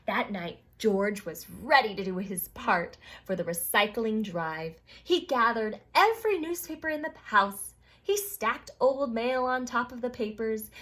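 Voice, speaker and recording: A woman reading a picture book aloud to young children, expressive, with chatty exclaiming asides to her listeners. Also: a little high. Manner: expressive, addresses children, exclaims and reacts to the story. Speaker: children's storytime reader.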